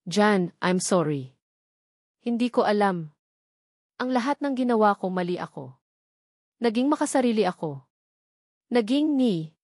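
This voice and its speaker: A woman's voice: mid-range voice, lively intonation, a little slow, pauses often, darkish tone, dry recording, clean studio sound. A woman voicing a guilt-ridden young wife, hesitant and apologetic, in an audio drama. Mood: remorseful.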